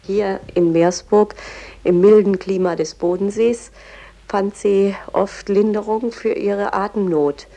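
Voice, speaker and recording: A woman playing a cultured, devoted museum guide in a radio play, explaining things in a measured, reverent manner. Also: medium pitch, steady tone, creaky, mellow, faint music behind. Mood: explanatory. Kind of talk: explanatory guided tour with verse recitation.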